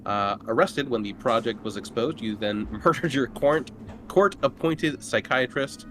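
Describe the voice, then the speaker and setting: A man's voice: medium pitch, animated, moderate pace. A man who plays in a tabletop roleplaying game, reading out character notes and adding casual explanations. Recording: home microphone with faint background noise.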